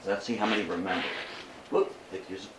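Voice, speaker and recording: A man's voice: low-pitched, steady tone. Older American man lecturing in echoey room. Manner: deliberate, explanatory, engages the audience. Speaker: guest lecturer. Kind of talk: informal history lecture. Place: meeting room talk. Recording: echoey room, distant mic, faint noise.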